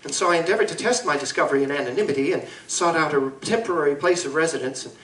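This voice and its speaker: A man playing an anguished Victorian gentleman scientist in a live audio drama, speaking formally, eloquently and deliberately. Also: fairly high pitch, natural voice. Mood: earnest, scholarly. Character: anguished gentleman scientist confessing to a monstrous alter ego. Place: live convention performance in a room. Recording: roomy live stage recording, distant mic.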